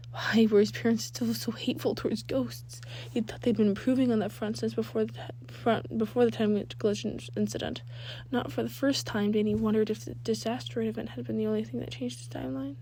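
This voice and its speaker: A woman's voice: mid-range voice, dark tone, a little hiss or hum. A woman narrating fan fiction as an amateur reader, now and then stumbling and rereading phrases. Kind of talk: scripted narration, reading a story aloud.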